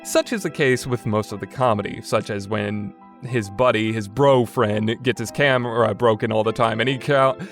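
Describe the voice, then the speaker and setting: A man's voice: fairly low pitch, steady tone, moderate pace. A man delivering a semi-scripted review commentary in a conversational, opinionated way, like a podcast host. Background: background music.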